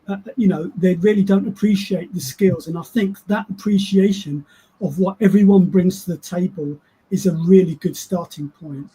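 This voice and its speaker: Man, panelist, high voice, very mellow tone, hesitant, repeats words. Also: fairly even intonation, moderate pace, slightly rough, low-quality recording. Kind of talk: panel discussion.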